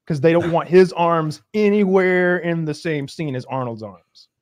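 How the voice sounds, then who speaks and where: Animated man telling a story. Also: on the high side, measured pace, no room sound, clean studio sound. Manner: animated storyteller, acts out bits. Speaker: podcast co-host. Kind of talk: casual chat.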